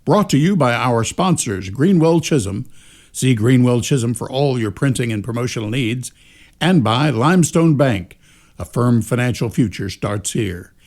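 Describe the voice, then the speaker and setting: A man's voice: medium pitch, animated, normal speed, darkish tone. A man reading scripted closing credits and sponsor announcements for a radio play.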